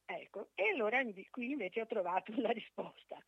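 A woman's voice: fairly high pitch, lively intonation, a little fast, slightly rough, no room sound. A woman calling in to a radio show, chatting in a hesitant, apologetic way. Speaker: radio phone-in caller. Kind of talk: casual conversation. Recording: dull, dry phone-in line.

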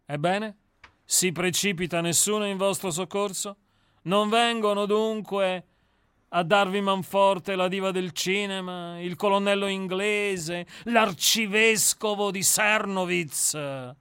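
A man's voice: sits high, steady tone, takes it slowly, sharp tone, clear, resonant tone, dry recording, full character voice. A man reading a radio play aloud, voicing a cynical, menacing novelist with a grandiloquent, mocking manner. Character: cynical, menacing male novelist, coldly theatrical. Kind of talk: dramatic reading.

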